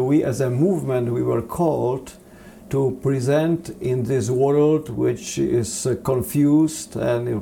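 A man with a Czech accent answering interview questions on theology in a halting, earnest, preacherly manner. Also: medium pitch, gravelly, mellow, a little hiss or hum. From Czech Republic. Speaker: seminary dean, interview guest.

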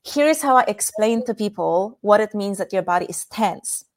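A woman's voice: medium pitch, animated, normal speed. Polish-accented woman explaining intimacy. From Poland. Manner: earnest, explanatory, guides listeners through exercises. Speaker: intimacy coach guest. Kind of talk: podcast interview.

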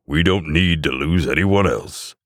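A man voicing a weary, commanding old Santa in a slow, grave, murmuring manner for a story. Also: very deep voice, a bit bright, no room sound, studio quality, voice pushed up. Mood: grave, resolute.

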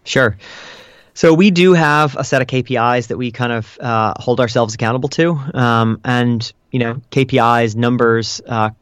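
A man answering interview questions as a guest, explaining things in a measured, conversational way with casual hedges. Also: medium pitch, clean tone, no room sound, clean studio sound. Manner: explanatory, measured, hedging with "kind of" and "you know". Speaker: interview guest, customer support director.

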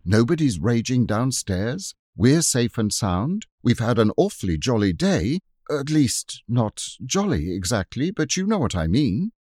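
A man doing a gentle earnest girl. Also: medium pitch, big pitch swings, measured pace, few pauses, no room sound, clean studio sound, voice pushed up. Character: gentle thoughtful older girl.

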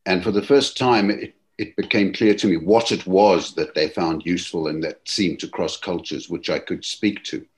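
Man, very low voice, gentle and reflective, webinar panelist, video call audio. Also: fairly even intonation, moderate pace, hardly any pauses. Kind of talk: live webinar discussion.

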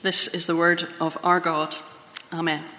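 A woman's voice: fairly low pitch, level tone, a little slow, sharp tone. A woman reading scripture aloud and speaking to a congregation as part of a church service. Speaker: Bible reader introducing the preacher. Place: large reverberant church hall. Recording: long hall reverb.

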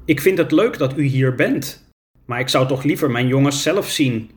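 A woman's voice: extremely low pitch, lively intonation, a little mellow. A man reading a storybook and voicing a frail, confused ninety-six-year-old woman in a care home. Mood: bewildered. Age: 90s. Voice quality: slow, hesitant, a little confused.

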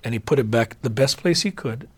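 A man's voice: medium pitch, a little fast, husky. A middle-aged man, a podcast host telling a family story in casual chat, with many fillers and restarts. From Hawaii.

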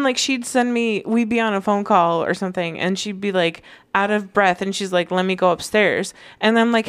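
A woman's voice: medium pitch, no echo. A woman chatting casually as a podcast co-host, with a teasing way of talking. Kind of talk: casual chat.

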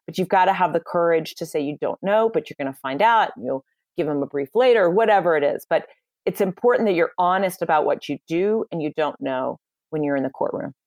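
A woman speaking with a fairly low pitch, confident and emphatic as she coaches on communication. Her voice is close and dry, with no room sound behind it. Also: animated, a little fast, darkish tone, no echo. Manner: confident, emphatic, advice-giving. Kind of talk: podcast interview answers.

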